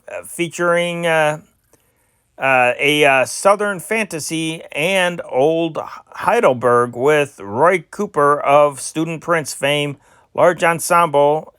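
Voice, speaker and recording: Guy reading old newspaper listings, kinda high voice, real slow, trips on names, no room echo. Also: a bit bright, smooth, clean studio sound. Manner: halting delivery, stumbles over names. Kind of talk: amateur scripted reading of vintage news and listings.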